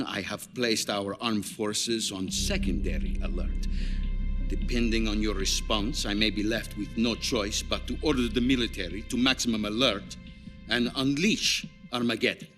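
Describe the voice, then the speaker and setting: A man acts a powerful ageing Soviet head of state in a game cutscene. His voice is low and slow, with solemn weight on each pronouncement. Music plays clearly behind him. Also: lightly acted. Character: grave, powerful ageing male Soviet leader. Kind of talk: dramatic game cutscene dialogue.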